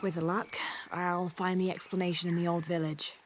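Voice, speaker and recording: A woman playing a determined, troubled young female adventurer in a video game cutscene, speaking earnestly and with measured thought. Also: lowish voice, flat delivery, slight background noise, lightly acted, low-quality recording. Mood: pensive. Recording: game cutscene with music behind.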